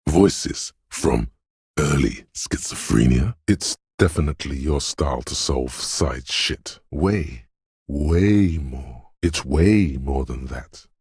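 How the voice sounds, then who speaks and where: Extremely deep, husky, eerie inner voice. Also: male voice, expressive, takes it slowly, a little mellow, no room sound, studio quality, heavily acted. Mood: cryptic, insistent. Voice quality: very deep, gravelly rasp. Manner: ominous, cryptic, theatrical, intimate. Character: eerie, mystical inner voice of a detective's intuition. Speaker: video game voice actor. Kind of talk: dramatic second-person game narration.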